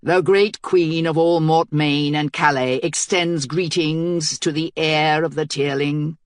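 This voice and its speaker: A woman reading aloud, voicing a formal male herald who delivers an enemy queen's terms slowly. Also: low-pitched, fairly even intonation, no echo, studio quality. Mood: pompous. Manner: slow, pompous proclamation.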